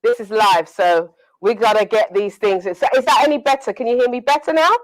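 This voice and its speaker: A woman's voice: fairly high pitch, fairly quick, poor audio. A woman with a British accent hosting a live online talk show over a video call, laughing often and talking straight to her viewers. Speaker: host.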